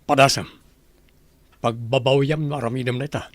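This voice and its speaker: A man's voice: medium pitch, fairly even intonation, moderate pace, dry recording, natural voice. A man playing a scheming rich husband who coldly threatens his wife, in a radio drama. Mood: menacing. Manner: cold, threatening, commanding. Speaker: master of the house.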